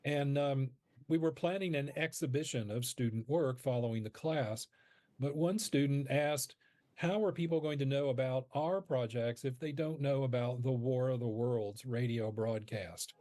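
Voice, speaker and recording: Male radio producer as interview guest: soft-edged mellow tone, dry close sound, measured explanatory delivery with halting pauses. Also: medium pitch, no echo.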